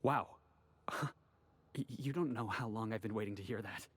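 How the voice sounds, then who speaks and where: An actor playing an eager, cocky young dock worker in a video game, earnest and a bit stiltedly formal. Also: male voice, on the low side, normal speed, dry recording, lightly acted. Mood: pleased. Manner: earnest, stiltedly formal when reciting, boastful.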